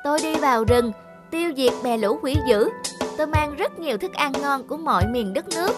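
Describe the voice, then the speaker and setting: A brave high-voiced boy hero. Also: expressive, a little fast, over loud music. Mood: earnest, resolute. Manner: firm, earnest declarations. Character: brave, determined young peach boy hero. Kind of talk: animated folk tale acting.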